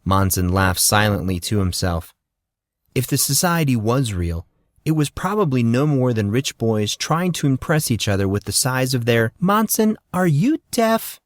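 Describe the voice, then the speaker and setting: A man reading an audiobook in a steady, measured storytelling manner. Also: medium pitch, no echo, clean studio sound. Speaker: audiobook narrator. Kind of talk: scripted audiobook narration.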